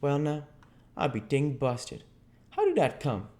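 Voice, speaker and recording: A man's voice: mid-range voice, wildly swooping pitch, measured pace, a little mellow, pitched above the performer's own voice. A man voicing a stubborn, uneducated Southern man in an audiobook, with a folksy dialect and insistent reasoning. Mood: puzzled, defiant. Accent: American South. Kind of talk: audiobook character dialogue.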